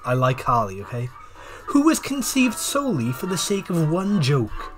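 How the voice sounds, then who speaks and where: A man's voice: on the high side, wildly swooping pitch, normal speed. A man narrating a scripted review in wordy, formal phrasing, with dry joking asides. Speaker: video essayist and reviewer. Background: music.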